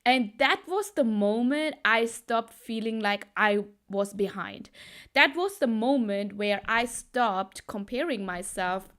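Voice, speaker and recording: A woman's voice: on the high side, animated, slow, fairly bright tone. A woman from Germany with Tamil as her mother tongue, giving an emphatic motivational talk as a business coach, often repeating phrases for weight.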